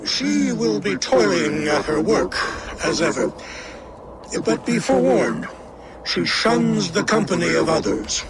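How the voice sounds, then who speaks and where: An actor playing a slow-spoken, theatrical giant lord in a video game cutscene. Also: male voice, high-pitched, expressive, very noisy. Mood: warning. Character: giant jotun lord Suttungr, boastful host. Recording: game audio with loud ambience.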